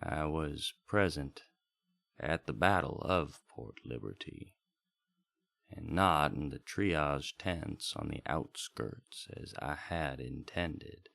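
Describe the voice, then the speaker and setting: A man's voice: very deep voice, slow pace, vocal fry, a bit bright, exaggerated voice. A man playing a wistful, homesick travelling bard in a melancholy, reflective radio drama. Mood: nostalgic, melancholy. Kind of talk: sung folk ballad and in-character radio monologue.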